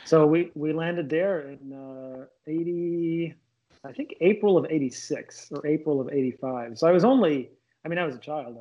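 A man's voice: a little high, highs cut off. A man in his late thirties, born in Lebanon, talking as an interview guest in a casual, rambling way, restarting and correcting himself. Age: late 30s. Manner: rambling, restarts mid-sentence, self-correcting.

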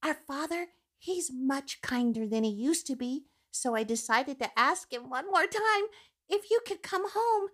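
A sweet little girl in a radio play, eager and tender toward an older brother. The voice is very high and bright. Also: lively intonation, measured pace. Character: sweet, eager little sister fetching her brother home. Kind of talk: radio drama acting.

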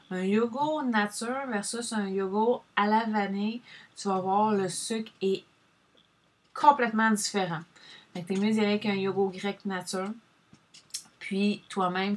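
A woman with a Québécois French accent chatting casually to viewers, rambling and addressing them directly as she shares advice. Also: on the low side.

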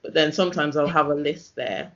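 A man's voice: fairly high pitch, a little fast, a bit bright. A male interviewer talks in a casual, reflective way. He builds his questions tentatively, in meandering phrases. The recording is slightly dull and lo-fi. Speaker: interviewer, host.

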